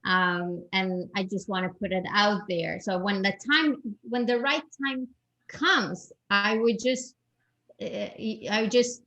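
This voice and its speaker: A woman's voice: medium pitch, steady tone, slow pace, pushed and bright. A woman from Europe, a guest expert giving advice in a panel talk, with a strong voice and many restarts and repeated words.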